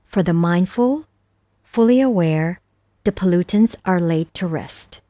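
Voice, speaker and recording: Slow, mellow woman narrator. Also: on the low side, dry recording. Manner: measured, deliberate phrasing. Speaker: narrator of a Buddhist scripture audiobook. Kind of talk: scripted narration, verse recitation.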